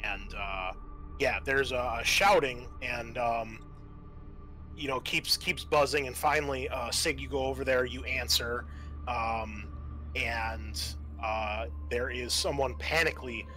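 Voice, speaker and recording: A man narrating a tabletop roleplaying game as game master, halting and thinking aloud as he improvises. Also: mid-range voice, steady tone, sharp tone, soft background music.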